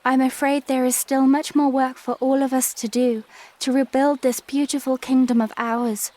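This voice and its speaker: A woman's voice: high-pitched, flat delivery, normal speed, dark tone, natural voice. A woman playing an earnest, dignified young princess with formal, courtly speech in a fantasy audio drama. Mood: resolute.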